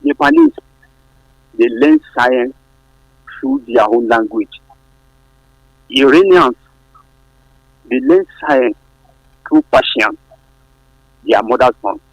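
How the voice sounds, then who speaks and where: A Nigerian man with a Yoruba accent phones in to a radio show, his voice husky and gravelly. He speaks earnestly, and his pitch swings widely as he presses each point. The call sounds dry, with a low mains hum behind it. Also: sing-song, slow, pauses often, no room sound, rough recording. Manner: earnest, pitch leaps when stressing a point. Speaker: radio caller. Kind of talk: phone-in opinion. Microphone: phone line.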